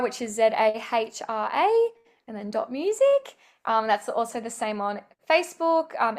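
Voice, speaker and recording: Young Aussie woman, slightly high voice, upbeat and rambling, heard over a video call. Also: a bit bright. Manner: chatty, upbeat, many fillers. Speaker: singer-songwriter guest. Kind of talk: casual interview chat.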